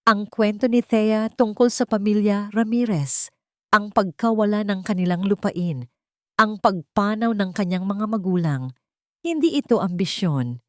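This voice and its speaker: A woman narrating a scripted dramatic story in a suspenseful way, pausing between short phrases. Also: mid-range voice, no room sound, clean studio sound.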